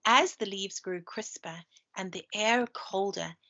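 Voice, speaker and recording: A woman's voice: mid-range voice, unhurried, sharp tone. Woman reading storytime, dull lo-fi sound. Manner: chatty, explains pictures to listeners. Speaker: primary school teacher. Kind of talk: read-aloud story time with asides to children. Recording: dull lo-fi screen-share recording.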